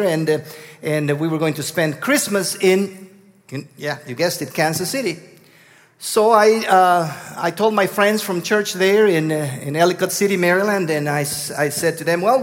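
A middle-aged man with a Guatemalan Spanish accent tells a long story from the pulpit. His voice sits a little high, and he halts and restarts often. The sound rings with the long reverb of a church hall. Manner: halting, many restarts. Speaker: guest preacher. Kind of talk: storytelling sermon.

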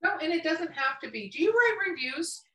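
A woman's voice: very high-pitched, sing-song, normal speed, pushed and bright. A woman hosting a casual interview, informal and blunt, recorded in a roomy space away from the mic. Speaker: podcast host, interviewer. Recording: roomy echo, distant from mic.

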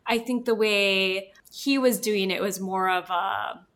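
A woman whose first language is Spanish, co-hosting a library podcast in a relaxed chat full of fillers. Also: mid-range voice, slow pace. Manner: chatty, many fillers like "like" and "you know". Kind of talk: casual chat.

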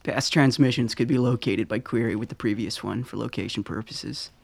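A man playing a shrewd leader in a radio drama, with a brisk, matter-of-fact manner. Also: medium pitch, creaky, no room sound. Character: shrewd male leader analysing intelligence.

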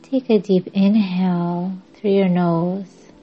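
A woman guiding a yoga nidra meditation in a measured, soothing, instructing way with long pauses. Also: on the low side, fairly even intonation. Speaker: meditation podcast host and guide. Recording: dull lo-fi audio under music. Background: music.

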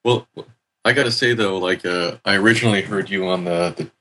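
A man's voice: low voice, a little fast, pushed and bright. A man taking part in a podcast call, making dry teasing remarks in casual chat. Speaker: podcast guest.

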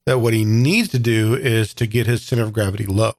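A man's voice: fairly low pitch, steady tone, brisk, a little mellow, no echo, clean studio sound. A man taking part as a player in a tabletop game, casually explaining what his character does.

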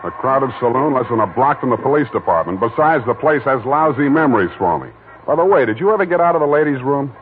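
An actor playing a wisecracking hard-boiled private detective who also narrates, in 1940s radio acting style. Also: male voice, mid-range voice, sing-song, quick pace, close to an everyday voice. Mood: sardonic. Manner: dry sardonic wisecracks. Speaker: narrator and lead. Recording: tinny old radio broadcast with music.

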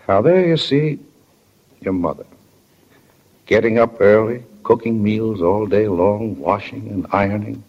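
A man's voice: lowish voice, unhurried, pauses often. A man playing a stern but kindly small-town father in a 1940s radio drama. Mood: gently reproving. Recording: dull 1940 radio recording.

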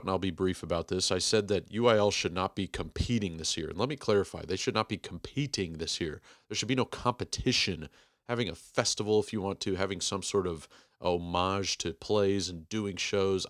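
Man in his forties, podcast host, very low voice, rambling, self-deprecating, emphatic diction. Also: a bit bright, dry recording, clean studio sound. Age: 40s. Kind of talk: casual podcast intro monologue.

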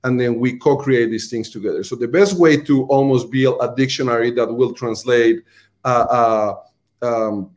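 A man's voice: medium pitch, steady tone, normal speed, a little mellow. A man with a Colombian accent, a restaurant marketing executive, explaining earnestly as an interview guest, with frequent fillers and repeated words.

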